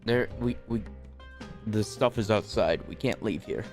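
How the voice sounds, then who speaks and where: A man's voice: lowish voice, unhurried, soft background music. A man streaming a video game, talking casually and reading along as he plays. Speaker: streamer playing and commenting on a game. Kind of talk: casual game chat and read-aloud.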